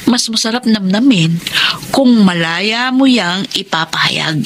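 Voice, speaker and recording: An actress playing a nosy, teasing cousin who loves gossip, with a Filipino accent, in a radio drama. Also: female voice, medium pitch, lively intonation, a little slow. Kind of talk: Tagalog radio drama acting. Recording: clearly noisy radio drama track.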